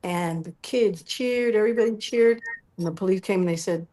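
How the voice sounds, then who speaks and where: A middle-aged woman telling animated personal stories as an interview guest, often acting out what people said. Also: mid-range voice, normal speed. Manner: acts out quoted dialogue. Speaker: interview guest, musical performer.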